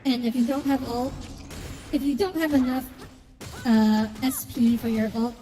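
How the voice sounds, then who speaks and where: A woman's voice: a little high, steady tone, measured pace, dark tone. A woman streaming a video game, mixing casual commentary with reading the story text aloud. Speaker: gaming streamer playing through a story quest. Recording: reverberant sound with music behind. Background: game music.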